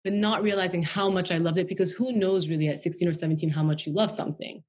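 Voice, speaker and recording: Low-voiced chatty woman guest. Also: fairly even intonation, fast, darkish tone. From Washington DC, United States. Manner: chatty, frequent "you know" and "like". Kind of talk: casual interview conversation.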